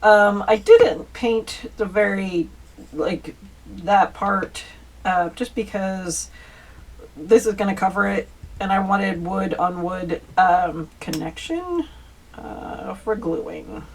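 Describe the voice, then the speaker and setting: A woman's voice: mid-range voice, slow pace. A woman hosting a casual step-by-step craft tutorial, chatty and informal as she talks to viewers. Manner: chatty, informal, addresses viewers as you guys. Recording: distant mic, faint background noise.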